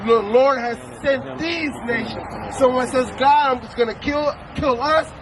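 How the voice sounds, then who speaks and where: A man's voice: very high voice, a little slow, gravelly, a crowd in the background. A man from El Salvador preaching outdoors on a city street, emphatic and full of rhetorical questions. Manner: emphatic, rhetorical questions, commanding scripture reads. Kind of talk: street sermon. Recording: street traffic noise.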